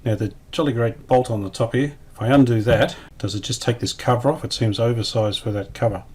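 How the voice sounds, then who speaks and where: A man giving casual hands-on restoration commentary, thinking aloud in a tentative, unhurried way. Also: mid-range voice, a little mellow, a little hiss or hum. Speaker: radio restorer presenting a repair series.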